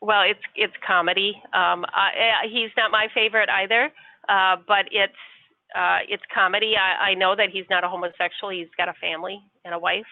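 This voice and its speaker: A woman's voice: mid-range voice, fairly even intonation, fairly quick, dry recording. A woman working customer service, answering politely and patiently with some hesitation. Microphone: phone. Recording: tinny dry phone line.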